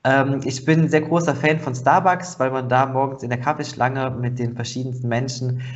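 A man's voice: mid-range voice, steady tone, moderate pace, lo-fi sound. A man hosting a podcast, asking chatty conversational questions with fillers. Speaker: podcast host. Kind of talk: podcast interview.

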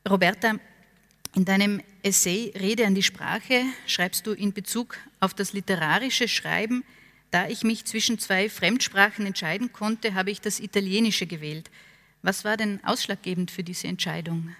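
A woman interviewer with a mid-range voice asks careful, measured questions and quotes the guest's writing. A long hall reverb surrounds her voice. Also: medium pitch, a bit bright. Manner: measured, quoting texts and asking thoughtfully.

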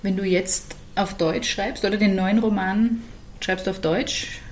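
A woman co-hosting a podcast who calmly steers the interview with guiding questions. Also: mid-range voice, unhurried, slight background noise. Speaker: podcast co-host. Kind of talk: casual interview.

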